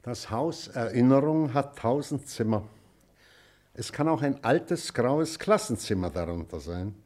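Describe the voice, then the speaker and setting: Man acting old teacher in a radio play, talks slowly, mid-pitched, measured and in charge of the class. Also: expressive, darkish tone, natural voice. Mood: measured authority. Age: older. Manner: measured, schoolmasterly. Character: deliberate, authoritative old schoolteacher leading a class. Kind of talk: acted radio-play dialogue.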